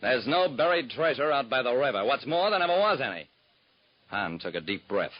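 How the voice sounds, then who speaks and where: A man plays a wry, hard-boiled private detective telling his case in first person, his voice rising and falling in lively swings. The sound is dull and lo-fi with a faint hiss, like a 1940s radio transcription. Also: medium pitch, a little fast, natural voice. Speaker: narrator. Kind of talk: 1940s radio drama narration.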